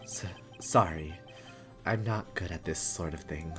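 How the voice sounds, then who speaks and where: A streamer voicing a stiff, reserved male prosecutor from a video game, speaking in a halting, formal and awkward way. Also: low-pitched, music in the background, pitched below the performer's own voice. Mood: awkward, subdued. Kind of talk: visual novel character dub.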